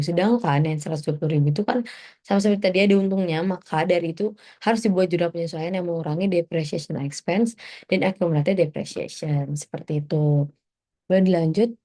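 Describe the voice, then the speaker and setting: Young woman, low mellow voice. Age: young adult. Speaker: student presenter. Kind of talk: informal explanatory presentation.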